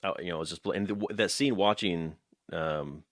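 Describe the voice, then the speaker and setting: A man with an extremely deep voice, recorded dry with no room sound. He works as an interviewer, speaking in a casual conversational style. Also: fairly quick, a bit bright, no room sound.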